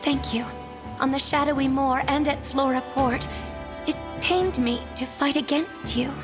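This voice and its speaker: Spirit maiden for a game: serene, kind, high voice over music. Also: female voice, fairly even intonation, measured pace. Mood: grateful. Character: gentle, serene female spirit, faithful and kind. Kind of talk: video game cutscene voice acting.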